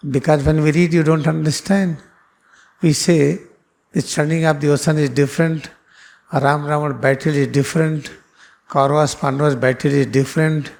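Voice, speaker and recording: A man teaching a spiritual class, speaking earnestly and circling back over his points in phrasing. His voice is gravelly and a little higher than most men's. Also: a little mellow. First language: Hindi. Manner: earnest explaining, repeats phrases, non-native phrasing.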